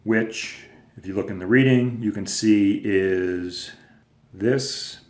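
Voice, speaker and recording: Low-voiced man teaching in an echoey room. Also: slow pace, darkish tone. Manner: patient, step-by-step explaining with fillers. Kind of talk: recorded lecture video.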